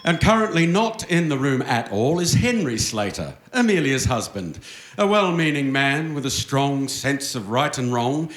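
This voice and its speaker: A man's voice: mid-range voice, expressive, normal speed, fairly bright tone, slight background noise. A man narrating a live radio play in a wry, mock-grand, old-time wireless announcer style. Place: theatre stage with audience. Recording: roomy live theatre stage.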